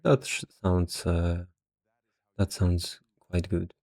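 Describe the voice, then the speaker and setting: A man's voice: sits low, slow pace, gravelly, darkish tone, no room sound. A man who sounds a little tired, casually thinking aloud as an investor working through valuations, hesitant and half muttering to himself. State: somewhat tired. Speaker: investor explaining stock valuation.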